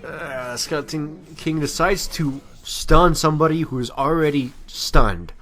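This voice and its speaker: A man's voice: a little high, unhurried, fairly bright tone, a little hiss or hum. A man giving casual, amateur video game commentary in a rambling, self-deprecating way.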